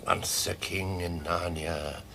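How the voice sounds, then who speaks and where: Creaky, deep-voiced old British professor. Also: male voice, steady tone, slow pace, noisy background, full character voice. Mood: musing, knowing. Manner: musing, theatrical, swooping delivery. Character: kindly, amused old professor, musing and unhurried. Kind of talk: radio drama acting.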